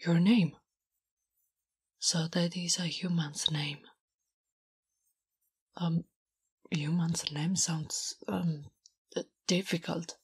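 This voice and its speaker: A woman voicing a big, blunt, smitten and naive female orc in whispered, halting broken English for an ASMR roleplay. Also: fairly low pitch, fairly even intonation. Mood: curious, hesitant. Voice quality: breathy, whispery. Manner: halting broken English, speaks of herself in third person. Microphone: close-up ASMR microphone. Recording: close, intimate microphone.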